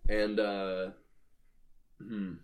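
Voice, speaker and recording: Podcast co-host, male, low register, talks through ideas in an explanatory, informal way. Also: monotone, very slow. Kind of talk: casual chat, speculative brainstorming about creatures.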